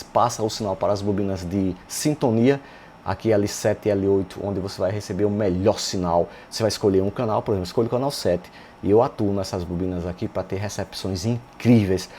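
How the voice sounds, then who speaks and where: A Brazilian man walks through technical material like an informal instructor. His voice sits somewhat low and has a very mellow, dark tone. He talks conversationally, dropping in little check-in questions, with noticeable noise behind him. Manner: conversational, often adding check-in tag questions. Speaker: electronics instructor. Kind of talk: informal technical tutorial, explaining step by step. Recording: clear background noise.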